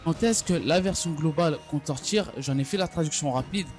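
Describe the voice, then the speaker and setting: A man giving an unscripted gaming news recap, speaking haltingly and often stumbling or repeating his phrases. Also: on the high side, darkish tone. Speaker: gaming video creator. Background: background music.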